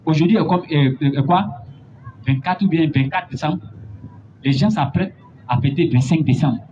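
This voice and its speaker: A man preaching a sermon, building to emphatic, drawn-out points. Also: a little high, warm tone. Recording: dull lo-fi recording with faint noise.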